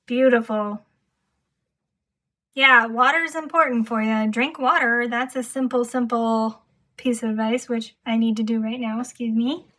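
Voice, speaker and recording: Soft-spoken, slightly hoarse tarot reader woman. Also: a little high, unhurried. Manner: calm, soft-spoken, reflective. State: slightly hoarse, losing her voice. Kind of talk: intuitive pick-a-card tarot reading.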